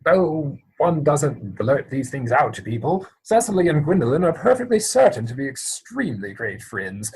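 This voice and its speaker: A man acting an exasperated, earnest gentleman in a Victorian stage comedy, with formal, mannered phrasing, recorded at home over a video call. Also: on the high side, big pitch swings, normal speed, hardly any pauses, breathy edge, heavily acted, low-quality recording. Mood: confident. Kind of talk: stage comedy dramatic reading.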